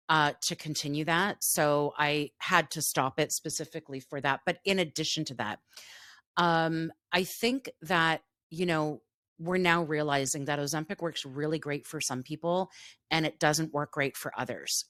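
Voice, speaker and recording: A woman's voice: deep voice, fairly bright tone, no echo, clean studio sound. A woman co-hosting a casual podcast chat, talking openly with lots of fillers and restarts. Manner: chatty, frequent fillers and restarts. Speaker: podcast co-host.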